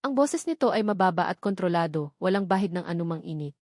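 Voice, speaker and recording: A woman storyteller narrating a scripted romance drama in a solemn, deliberate way with short, weighted phrases. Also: fairly low pitch, lively intonation, darkish tone, no room sound, clean studio sound.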